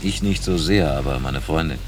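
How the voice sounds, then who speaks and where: An actor playing a casual, coaxing German holiday boyfriend in a naturalistic radio drama. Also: male voice, rumbling low, moderate pace. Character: German holiday traveler Frank, impulsive, amorous, teasing boyfriend. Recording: noisy cassette tape of radio play.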